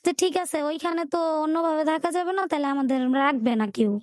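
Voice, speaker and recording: High-voiced Bangladeshi Bengali woman. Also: fairly quick, no room sound, studio quality. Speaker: guest telling her life story. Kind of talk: personal storytelling in a radio interview.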